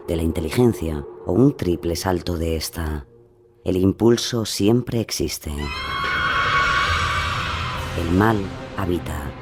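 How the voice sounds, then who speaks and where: Narrador grave y solemne. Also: male voice, low voice, mellow. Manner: solemn, measured. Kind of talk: scripted true-crime narration.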